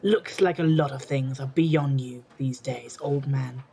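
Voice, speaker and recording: An actor playing an arrogant, sarcastic young occultist in an audio drama, speaking with overconfidence. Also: male voice, mid-range voice, a little slow, vocal fry, crowd noise. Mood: smug, dismissive. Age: young adult.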